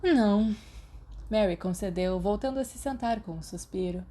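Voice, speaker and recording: A woman with a Brazilian Portuguese accent reads fiction aloud in a calm, even manner. Her voice is low-set and mellow, with a warm, soft tone. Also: fairly quick. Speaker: podfic reader. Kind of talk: scripted fiction narration.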